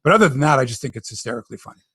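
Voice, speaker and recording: A man's voice: medium pitch, animated, very fast, a bit bright. A middle-aged Canadian man hosting a sports podcast, opinionated and sarcastic in casual talk. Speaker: podcast host.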